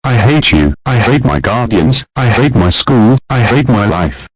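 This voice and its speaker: Man as a spoiled bratty boy, low and husky, swears, sulks defiantly. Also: sing-song, measured pace, no room sound, exaggerated voice, low-quality recording. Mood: furious.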